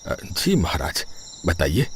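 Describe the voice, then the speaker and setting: A man's voice: sits low, huge pitch swings, takes it slowly, a little hiss or hum, heavily acted. A man voicing a humble, honest brahmin in a cartoon story, who speaks deferentially and hesitantly. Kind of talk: cartoon voice acting. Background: background music.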